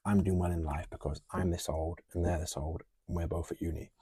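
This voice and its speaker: Man in his 20s; very deep, mellow, husky voice, earnest and reflective. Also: flat delivery, fairly quick, no room sound, clean studio sound. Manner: earnest, musing, talks through lists. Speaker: podcast co-host. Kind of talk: casual heartfelt conversation.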